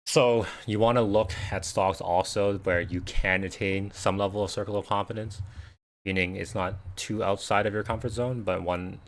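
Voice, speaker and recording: A man with a deep, low voice hosts a casual livestream, answering questions as he goes. His first language is Cantonese, and he rambles through loose, conversational sentences full of "you know" and "right?". He speaks into a desk mic. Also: fairly even intonation, moderate pace. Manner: rambling, conversational, many fillers and restarts. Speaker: investing livestream host. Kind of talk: casual live Q&A chat.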